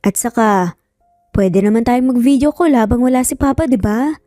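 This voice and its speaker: Woman reading as calm, affectionate young father. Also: fairly high pitch, normal speed, dry recording, clean studio sound, soft background music, natural voice. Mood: fatherly, gentle. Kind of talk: dramatised storytelling.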